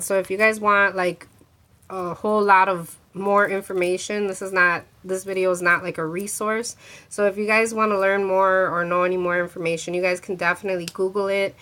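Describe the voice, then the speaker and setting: A woman's voice: medium pitch, a bit bright. A woman with a congested voice from a cold, chatting casually to her viewers about a handmade crystal ring, with lots of restarts and filler words. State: congested from a cold, sore throat. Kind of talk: casual talk to camera showing a handmade ring.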